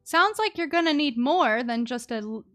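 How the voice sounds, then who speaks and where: A woman's voice: sits high, animated, normal speed, fairly bright tone. A woman giving casual, chatty live commentary as she streams a game, reacting to the story.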